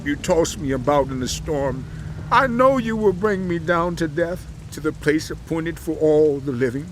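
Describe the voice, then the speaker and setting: An actor playing a suffering righteous man in a dramatized audio Bible, with solemn, impassioned, measured delivery. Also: a little high, lively intonation, slight background noise, close to an everyday voice, poor audio. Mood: anguished, pleading.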